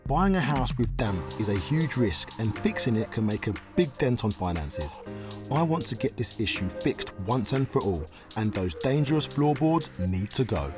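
A man delivers scripted voice-over for a renovation show, measured and explanatory, at a middle pitch. Music plays clearly behind him. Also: sing-song. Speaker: co-presenter. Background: background music.

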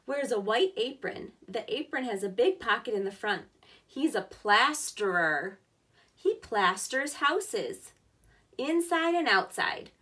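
A woman's voice: medium pitch, sharp tone. A woman in her thirties reading a picture book aloud to preschoolers as their teacher, with simple, encouraging explanations, recorded indoors a short distance from the microphone. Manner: clear, simple, encouraging address to young children. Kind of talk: children's story read-aloud with explanatory asides.

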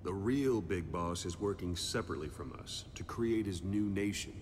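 An actor playing a calculating older soldier and strategist, grave and calmly resolute, with deliberate pauses, in dramatic game cutscene dialogue. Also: male voice, low voice, lightly acted. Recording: long reverb, clear background noise.

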